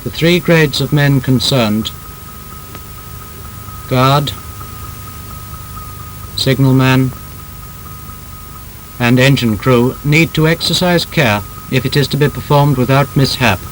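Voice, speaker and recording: A man with a British accent reads a scripted 1930s instructional film narration in a formal, emphatic, measured manner. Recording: noisy old film soundtrack with rumble.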